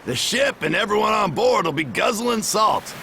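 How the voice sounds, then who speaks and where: A gruff old-hand shipwright from a video game, high for a male voice and full of gravel. He talks bluntly and heartily, swinging widely in pitch, a tough mentor who still gives approval. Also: lively intonation, normal speed, pushed and bright, a little hiss or hum, full character voice. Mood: stern, blunt. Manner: blunt, hearty, rough colloquial talk. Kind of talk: video game cutscene voice acting.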